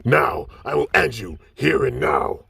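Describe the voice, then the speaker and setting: Man doing a mocking villain, gravelly, slow, taunting, distant mic, faint hiss. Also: mid-range voice, animated, bright, forceful tone, no echo, voice pushed up, full character voice. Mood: menacing. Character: sneering male villain, cruel and scornful.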